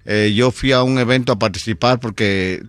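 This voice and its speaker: A middle-aged man from Guatemala, telling his story as a radio guest, unhurried with many fillers. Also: mid-range voice, fairly bright tone, clean tone, faint music behind. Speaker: storyteller and event producer, guest. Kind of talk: radio interview. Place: radio studio.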